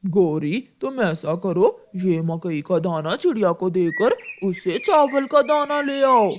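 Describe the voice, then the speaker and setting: A woman's voice: medium pitch, lively intonation, takes it slowly, a little hiss or hum. A woman voicing a scheming, thieving crow in a cartoon, with a wheedling manner.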